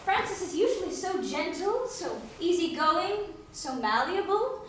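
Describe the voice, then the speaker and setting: Woman acting a posh breezy young heiress, high voice, polite n arch, musical theatre, very echoey room. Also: very animated, measured pace, fairly bright tone, heavily acted. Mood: earnest, puzzled. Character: well-bred young heiress ballerina, poised and breezy. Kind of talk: musical comedy stage acting. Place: live staged reading before audience. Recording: echoey stage, distant mic, faint noise.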